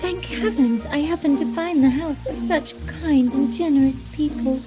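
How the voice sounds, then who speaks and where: A woman acts a young princess from a fairy tale, polite and pleading. Her voice is very high, with prominent music behind it. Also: moderate pace, close to an everyday voice. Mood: grateful. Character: polite, grateful young princess lost in a storm. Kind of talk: scripted children's story dialogue.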